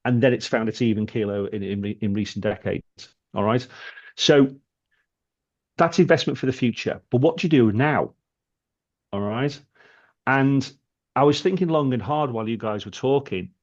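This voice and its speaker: A British man co-hosting a podcast, speaking in a thoughtful, halting way with frequent restarts. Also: medium pitch, muffled recording. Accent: British English. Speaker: podcast co-host. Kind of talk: casual podcast chat.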